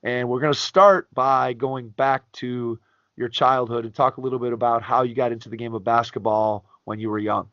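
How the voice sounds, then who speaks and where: A man hosting a basketball coaching podcast, reading a guest's biography in a measured announcer style and then asking interview questions. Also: medium pitch, level tone, fairly bright tone, dry recording, dull audio. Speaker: podcast host.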